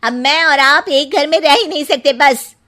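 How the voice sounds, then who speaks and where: A woman's voice: high-pitched, moderate pace, pushed and bright. A woman playing a sarcastic, aggrieved young daughter-in-law in a cartoon story, with a complaining manner. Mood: fed up, angry.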